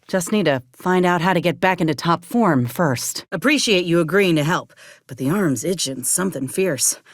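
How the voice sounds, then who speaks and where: A woman's voice: on the low side, animated, moderate pace, no room sound, clean studio sound. An actress playing an earnest, determined young woman soldier with folksy rural turns of phrase in a video game. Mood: practical. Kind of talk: video game character dialogue.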